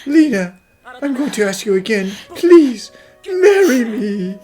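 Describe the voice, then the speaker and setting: A woman's voice: medium pitch, sing-song, normal speed, darkish tone, natural voice. A woman voicing an earnest, devoted young male suitor in an anime dub. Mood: tender, pleading. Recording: background music under the dialogue.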